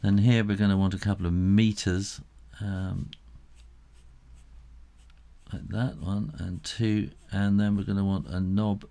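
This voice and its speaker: A middle-aged man giving a casual step-by-step drawing tutorial, unhurried and thinking aloud as he works. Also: lowish voice, little pitch movement, mellow.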